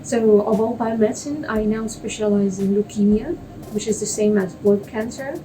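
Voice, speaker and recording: A young woman from Russia whose first language is Russian explains things as an interview guest. Her voice is mellow and of medium pitch. She sounds a bit far from the microphone, with faint music behind her. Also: fairly even intonation, normal speed. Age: young adult. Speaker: guest, biomedical researcher. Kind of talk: explanatory interview answers for a lay audience.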